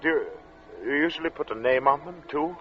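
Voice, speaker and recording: A man acting as an uneasy, introspective gentleman who narrates an eerie tale in 1940s radio suspense style, measured and literate. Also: fairly high pitch, faint music behind, close to an everyday voice. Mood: shaken, tense. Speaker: narrator and protagonist. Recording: muffled old radio drama recording.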